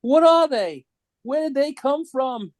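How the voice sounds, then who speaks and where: A man's voice: very high voice, animated, slow, dry recording, clean studio sound, pitched above the performer's own voice, heavily acted. A man voicing a gloating, petulant toymaker villain in a whiny, theatrical comic book read. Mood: petulant outrage.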